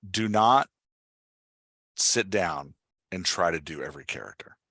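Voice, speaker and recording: Male host-coach, forceful lower voice, dry sound, conversational, checks for understanding as he explains. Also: expressive, unhurried, no echo. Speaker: podcast host and writing coach.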